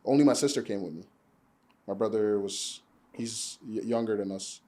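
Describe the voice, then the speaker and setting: A young man from Nigeria, a podcast guest, talking in a relaxed, rambling way full of fillers. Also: lowish voice, normal speed. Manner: relaxed, rambling, many "like" and "you know" fillers. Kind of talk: casual conversation.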